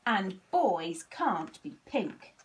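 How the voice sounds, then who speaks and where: Woman voicing an earnest, excitable little boy penguin. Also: mid-range voice, drawn-out words, slight background noise. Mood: protesting. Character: young boy penguin, polite, earnest, easily dismayed.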